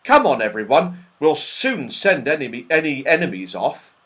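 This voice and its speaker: A man's voice: a little high, very animated, moderate pace, a bit bright. A man voicing an eager, bold young boy who calls out urgently in a children's storybook. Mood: concerned, bold.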